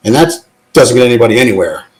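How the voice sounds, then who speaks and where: A man with a mid-range voice joins a live audio talk show. He speaks in a measured, thoughtful way, laying out his point patiently. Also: mid-range voice, expressive, rough recording. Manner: measured, thoughtful, gently explaining. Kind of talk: casual, reflective talk.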